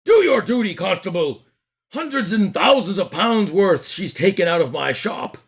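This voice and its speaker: A man voicing a loud, indignant stout shopkeeper in a read-aloud storybook. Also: high voice, expressive, moderate pace, husky, pitched above the performer's own voice, heavily acted. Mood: outraged. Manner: shouting, outraged.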